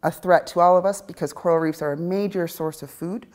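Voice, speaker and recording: A woman's voice: lowish voice, steady tone, a little fast. A woman, a marine conservationist, explaining her work in an interview with frequent laughs. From Bahamas. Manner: warm, laughs often, explanatory. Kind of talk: interview conversation.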